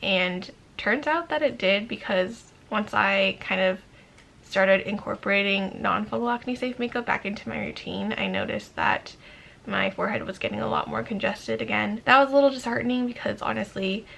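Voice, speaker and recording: A woman in her twenties with a raspy morning voice, chatting casually through her skincare routine in a get-ready-with-me vlog, full of ums and likes. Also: mid-range voice, moderate pace. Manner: relaxed, rambling, lots of ums and likes. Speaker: beauty vlogger.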